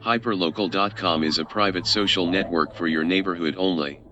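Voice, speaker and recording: A man's voice: deep voice, a little fast, over music. A man reading a scripted announcement in the style of an announcer for a fictional social network. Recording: dull lo-fi sound, noisy background.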